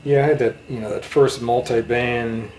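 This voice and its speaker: A man in a casual interview chat, reminiscing in a halting way. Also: mid-range voice, fairly even intonation, a little mellow, slight background noise, low-quality recording. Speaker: interviewer, channel host.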